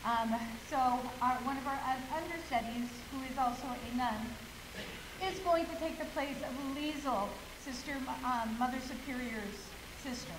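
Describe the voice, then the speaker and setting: A middle-aged woman, a director, giving a nervous, halting informal introduction from a stage. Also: high-pitched. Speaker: play director introducing show. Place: school auditorium stage, far camera. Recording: echoey auditorium with hiss.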